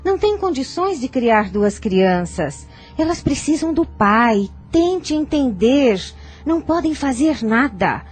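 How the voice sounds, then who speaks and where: A woman's voice: sits high, big pitch swings, slow pace, slightly rough, faint music behind, full character voice. A woman playing an earnest, imploring older grandmother in a Brazilian audio novel. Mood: pleading.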